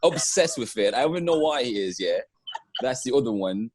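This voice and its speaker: A man from Nigeria chatting casually as a guest on a video call, animated, laughing and rambling. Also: mid-range voice, brisk. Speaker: guest, singer and actor. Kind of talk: casual chat. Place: home room indoors. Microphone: earphone mic on a video call.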